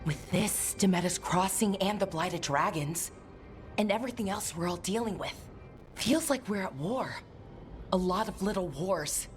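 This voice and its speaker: A woman's voice: medium pitch, expressive, normal speed, faint music behind, natural voice. A woman playing an earnest, concerned investigator in a fantasy game. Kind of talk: dramatic video game acting.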